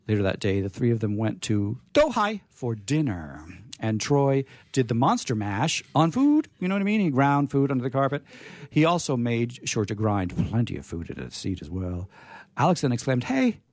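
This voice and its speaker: Animated man hosting with theatrical flair. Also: medium pitch, normal speed, no room sound. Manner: theatrical, playful delivery. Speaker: radio show host. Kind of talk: reading short comic stories aloud and chatting as host. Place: radio studio.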